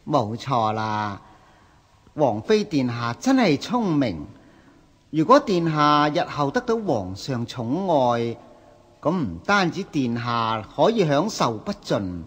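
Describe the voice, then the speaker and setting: An actor playing a fawning, scheming palace eunuch in a historical radio drama, obsequious and coaxing. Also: male voice, medium pitch, sing-song, takes it slowly, slightly rough, full character voice. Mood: smooth, persuasive.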